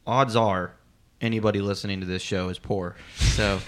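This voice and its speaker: A man's voice: lowish voice, steady tone, moderate pace, clear, resonant tone. A man co-hosting a podcast in casual chat. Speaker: podcast co-host.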